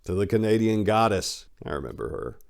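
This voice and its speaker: A man reading a book aloud in a dramatic style, with deliberate, declamatory delivery. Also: lowish voice, big pitch swings, vocal fry. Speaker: audiobook reader. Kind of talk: dramatic reading of a book's acknowledgments.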